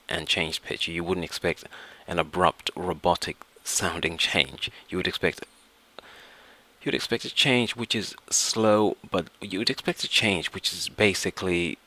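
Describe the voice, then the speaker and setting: A man presenting a step-by-step software tutorial, explaining things and often repeating and rephrasing his phrases. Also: deep voice.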